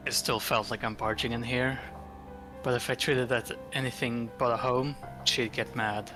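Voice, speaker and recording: A man's voice: medium pitch, fairly even intonation, normal speed, natural voice. A man voicing a weary, stoic teenage boy who narrates his thoughts in a game. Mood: pensive. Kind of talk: scripted visual novel narration. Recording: music playing behind the voice.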